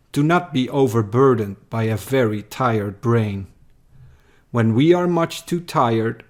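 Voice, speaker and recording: A man reciting a scripted poem in a measured, deliberate way. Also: mid-range voice, darkish tone. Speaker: poem reader.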